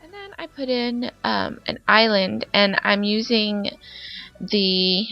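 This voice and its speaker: A woman's voice: medium pitch, takes it slowly. A young woman, congested from a summer cold, chatting casually through a voice-over of her game build with lots of fillers. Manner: chatty, rambling with many fillers. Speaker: Sims building YouTuber. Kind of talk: casual voice-over narration of gameplay. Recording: dull lo-fi voice-over with faint background music.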